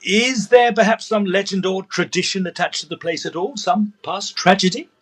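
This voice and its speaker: A man playing a brisk, sceptical gentleman ghost investigator who narrates a period audio drama with dry pomposity. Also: high voice. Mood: inquisitive.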